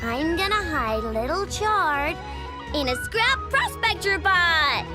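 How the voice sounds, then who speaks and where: Very high, excitable girl inventor. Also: slow, over music, exaggerated voice. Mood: cocky, carefree. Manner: exclamatory, bubbly, boastful. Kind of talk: video game voice acting.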